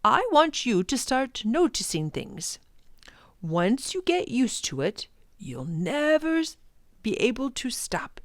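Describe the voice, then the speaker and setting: A woman voicing an earnest, enthusiastic male professor in a children's storybook, urging listeners on with dramatic emphasis and hushed words. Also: on the high side, very animated, slow pace, dry recording, clean studio sound, voice pushed up, exaggerated voice. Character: older male professor, passionate, earnest, wonder-filled teacher. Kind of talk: children's storybook read-aloud.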